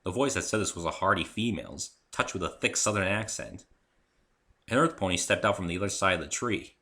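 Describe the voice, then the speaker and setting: A man reading a first-person story aloud in an expressive, theatrical amateur narration. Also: low voice, a little fast. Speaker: amateur reader of own story.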